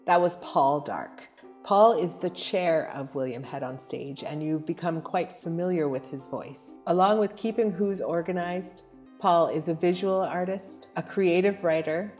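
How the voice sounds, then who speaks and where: A woman's voice: on the low side, a little slow, darkish tone. A woman hosting a podcast, presenting scripted introductions as narrator. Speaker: podcast host. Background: music.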